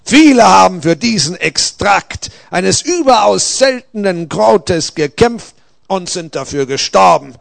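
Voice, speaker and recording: Proud conquering soldier, voiced by a man, intense, anguished, raised pitch, slow dramatic delivery, storybook drama. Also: animated, vocal fry, fairly bright tone, no room sound, studio quality, heavily acted. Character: Balthasar, proud conquering warrior, shaken and anguished.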